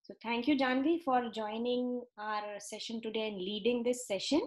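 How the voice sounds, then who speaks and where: A woman's voice: fairly high pitch, fairly even intonation, normal speed. A woman whose first language is not English, hosting the session and introducing the guest speaker with many fillers. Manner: warm, thankful introduction with fillers. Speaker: session host.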